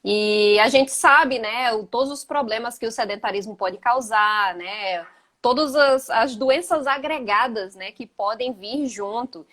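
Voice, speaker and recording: A woman's voice: fairly high pitch, unhurried, fairly bright tone. Woman with a Gaúcho lilt, chatty. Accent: Gaúcho (southern Brazilian) Portuguese. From Northeast Brazil. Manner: conversational, many "né" tags. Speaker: guest, physical education teacher. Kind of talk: casual live chat. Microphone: video call.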